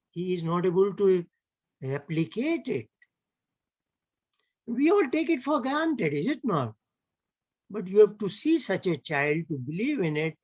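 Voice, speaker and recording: A man's voice: high voice, no room sound. A man with an Indian English accent giving an online lecture, emphatic and often closing points with rhetorical tag questions. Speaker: lecturer at an online heritage camp.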